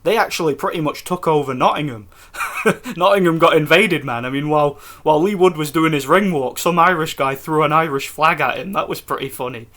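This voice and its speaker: A man from Scotland giving a casual, excited post-fight review for his vlog, rambling with restarts. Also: a little high, a little fast. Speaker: boxing vlogger.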